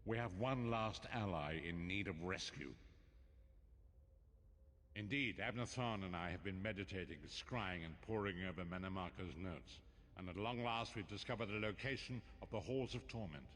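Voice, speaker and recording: An actor playing a solemn, wise older prophet in a fantasy video game, speaking in a formal, measured, portentous way. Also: male voice, sits low, fairly bright tone. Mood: grave, solemn. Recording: long reverb, some background noise.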